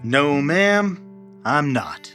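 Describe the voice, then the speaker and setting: Swooping, emotive Old West cowboy. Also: male voice, fairly high pitch, big pitch swings, drawn-out words, bright, forceful tone, soft background music, heavily acted. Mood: polite, firm. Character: Chance, a desperate, remorseful hard-drinking Old West cowboy. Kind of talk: old-time Western radio drama acting.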